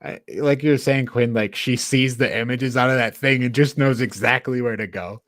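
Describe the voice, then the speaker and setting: A man's voice: medium pitch, steady tone, moderate pace, a bit bright, no echo, clean studio sound. A man co-hosting a podcast, chatting casually about a film in colloquial, emphatic language. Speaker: podcast co-host. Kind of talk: casual film review chat.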